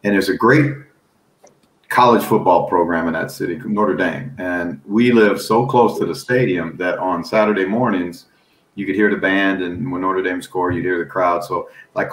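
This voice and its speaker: A middle-aged American man, a football coach and analyst, telling stories in an animated, folksy way and laughing along. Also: low voice, roomy sound, low-quality recording. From United States. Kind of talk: casual storytelling on a podcast.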